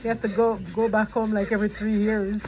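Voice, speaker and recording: A woman from Jamaica vlogging casually while getting a pedicure in a nail salon, relaxed and chatty, laughing often. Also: mid-range voice, fairly quick, low-quality recording. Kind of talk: casual vlog chat.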